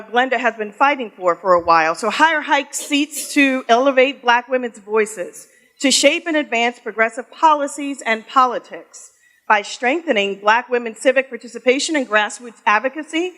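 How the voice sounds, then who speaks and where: A bright, somewhat high-voiced woman moderating a live panel. She speaks to the room in an upbeat, folksy way and warmly greets the audience. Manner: lively, upbeat and folksy, addresses the room as y'all.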